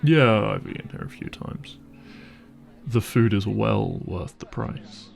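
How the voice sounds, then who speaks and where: A wealthy young boyfriend, played by a man, speaks softly and intimately in an audio roleplay. His voice is on the low side with a noticeable fry, and music plays faintly behind him. He comes across as caring, apologetic and a little hesitant. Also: lively intonation, slow, exaggerated voice. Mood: reassuring, affectionate. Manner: gentle, hesitant, apologetic, reassuring.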